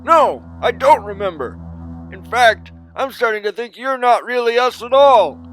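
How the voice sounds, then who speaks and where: Man acting old-timer, high voice, lively intonation, folksy, suspicious, comic radio play. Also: measured pace, soft background music, exaggerated voice. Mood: indignant. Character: suspicious, folksy old-timer, older time-travelling self. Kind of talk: audio comedy sci-fi drama.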